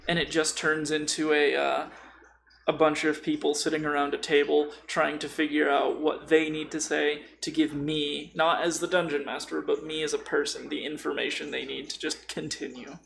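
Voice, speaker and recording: A man's voice: a little high, level tone, normal speed, gravelly, a bit bright, slight background noise, poor audio. A man chatting casually about tabletop role-playing in an informal, rambling way full of tangents.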